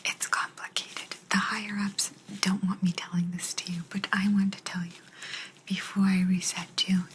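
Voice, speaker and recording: A woman playing a gentle, patient alien abductor in a soft, breathy ASMR whisper, confiding closely in the listener. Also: medium pitch, level tone, normal speed, lightly acted, poor audio. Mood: confiding, concerned. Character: gentle, apologetic female alien abductor explaining a simulation.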